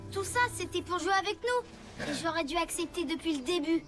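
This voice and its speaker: A woman's voice: very high-pitched, brisk. A woman voicing a brave, enthusiastic young boy rescue motorbike in a French children's cartoon dub, encouraging like a big brother. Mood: excited. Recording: loud music under dialogue.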